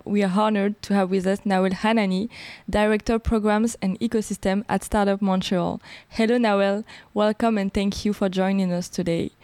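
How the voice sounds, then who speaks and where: Mellow woman hosting a podcast. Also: medium pitch, dry recording. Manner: smooth, read-aloud delivery. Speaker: podcast host. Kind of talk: scripted podcast intro and interviewing.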